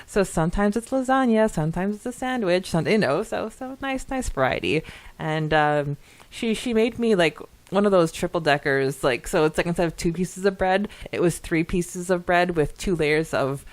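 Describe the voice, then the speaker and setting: A woman's voice: lowish voice, moderate pace, no room sound. A woman co-hosting a podcast, giggly and chatty as she talks casually and reads listener comments aloud. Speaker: podcast co-host.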